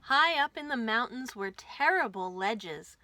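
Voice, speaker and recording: A woman hosting a children's storytime, reading aloud with an expressive, deliberate delivery for young listeners. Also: a little high, slight background noise. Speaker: children's librarian and storytime host.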